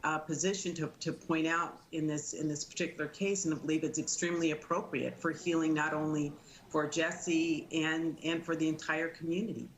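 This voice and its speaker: A woman speaking as a legal commentator, giving measured analysis in a news interview. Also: deep voice, level tone, rough recording. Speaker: legal commentator, defense attorney.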